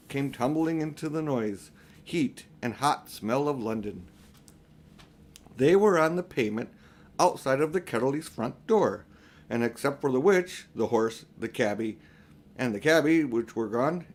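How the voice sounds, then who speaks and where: An older man narrating a children's audiobook in a deliberate, phrase-by-phrase manner. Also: mid-range voice. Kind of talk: scripted storybook narration.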